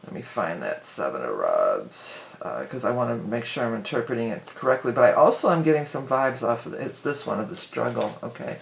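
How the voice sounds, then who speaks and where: A hoarse woman giving a casual, rambling tarot reading, full of fillers and restarts. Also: very deep voice, big pitch swings, a little fast, hardly any pauses, echoey room. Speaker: tarot reader.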